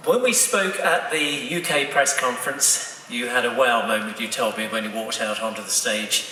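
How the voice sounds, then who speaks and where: Friendly radio reporter, bright and distant. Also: male voice, mid-range voice. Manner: self-mocking, friendly, deliberate. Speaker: radio journalist. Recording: echoey hall from far off.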